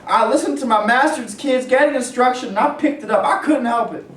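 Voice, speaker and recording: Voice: mid-range voice, a little fast, a bit bright, close to an everyday voice. An actor playing a terrified young male runaway slave, pleading and deferential, in a staged period play. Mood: frightened pleading. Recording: echoey room, distant mic, faint noise.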